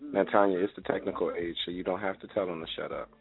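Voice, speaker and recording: A man's voice: low voice, fast talker, creaky. A man co-hosting a talk show, teasing and cracking jokes. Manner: teasing, animated, comic. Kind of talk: joking talk-show banter. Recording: tinny phone-line sound.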